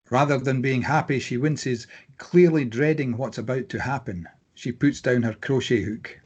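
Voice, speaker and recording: A man reading screenplay stage directions aloud as scripted narration, with a slightly husky voice. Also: medium pitch, darkish tone.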